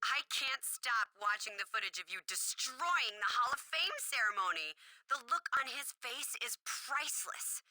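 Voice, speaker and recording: A man's voice: very high voice, animated, measured pace, pushed and bright, no room sound, faint music behind, exaggerated voice, rough recording. A man playing a smug, scheming backer in a wrestling video game. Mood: smugly amused. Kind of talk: acted video game message.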